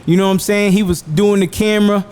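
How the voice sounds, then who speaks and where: A man hosting a laid-back interview show, his voice sitting unusually high for a man. He keeps things upbeat, hypes his guest, and fills gaps with quick affirmations. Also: fairly even intonation, a little mellow, smooth. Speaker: podcast host. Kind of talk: casual interview chat.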